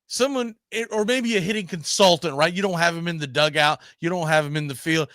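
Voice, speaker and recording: A man's voice: on the high side, fairly bright tone, dry recording, studio quality. A man hosting a live baseball history show, chatting casually and often repeating and rephrasing as he goes. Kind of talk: casual sports chat with co-host.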